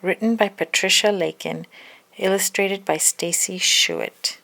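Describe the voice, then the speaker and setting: Woman doing a kids read aloud, slow storytime narration, creaky voice. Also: medium pitch, pushed and bright. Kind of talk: expressive scripted storybook narration.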